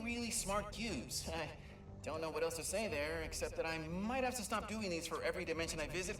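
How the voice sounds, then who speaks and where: An actor playing an excitable young male Lombax mapmaker who stammers and rambles, in a video game audio log. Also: high-pitched. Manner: stammering, talkative, self-amused. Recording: echoey and distant, music behind.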